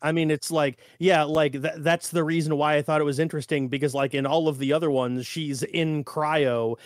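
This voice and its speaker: Higher-pitched man, dry casual podcast chat. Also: level tone, normal speed, no room sound. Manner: informal, slangy. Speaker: podcast co-host. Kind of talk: casual discussion.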